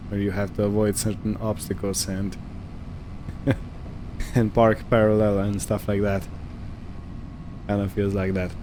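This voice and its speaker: A man with a fairly low voice commentates casually on a roleplay game. He talks very slowly, thinking aloud in short phrases. A faint vehicle-like ambient hum sits behind him. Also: a little mellow. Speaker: gaming video host.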